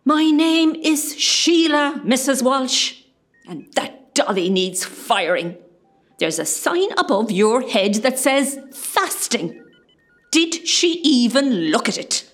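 Stern hospital nursing director played by a woman, high pitch, slow deliberate scolding, radio comedy. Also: expressive. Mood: stern, exasperated. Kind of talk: amateur comedy radio play acting.

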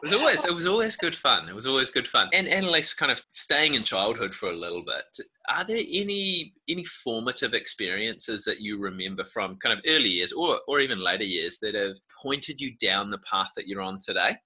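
A man's voice: medium pitch, big pitch swings, fairly quick, hardly any pauses, sharp tone, breathy edge. A man, hosting a podcast interview and asking upbeat, friendly questions. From New Zealand. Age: 30s. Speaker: podcast host.

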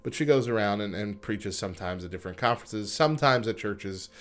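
A lower-pitched man co-hosting a talk radio show in a studio. He chats casually and reads news items, often stopping for fillers and restarts, and laughs easily. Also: expressive, a little fast, a bit bright.

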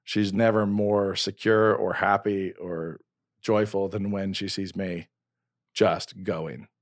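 A man's voice: low voice, flat delivery, slow pace, no echo, clean studio sound. A man in his forties, a podcast host and novelist, talking in an earnest, rambling way with many restarts. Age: 40s. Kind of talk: casual conversation.

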